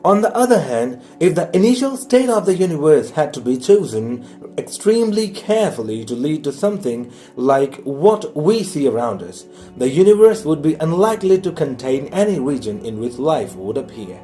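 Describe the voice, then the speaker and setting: A man reading a science audiobook aloud in a measured, explanatory way. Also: on the high side, very animated, mellow, faint music behind. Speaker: audiobook reader. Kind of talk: scripted narration, reading a science book aloud.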